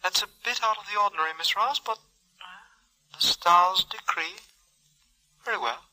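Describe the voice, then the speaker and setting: An actress in a 1940s radio drama playing a haughty, mystical fortune teller with formal, theatrical pronouncements. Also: female voice, on the low side, normal speed, pushed and bright, poor audio. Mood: grandly formal.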